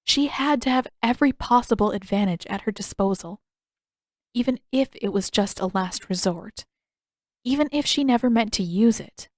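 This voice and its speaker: A woman's voice: a little high, normal speed, no room sound. A woman reading scripted fiction as an audiobook narrator, recorded close in a dry space.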